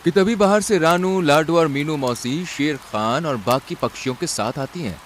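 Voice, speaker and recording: A man's voice: on the high side, moderate pace. A man narrating a cartoon story in a dramatic scripted style. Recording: rain and outdoor noise behind.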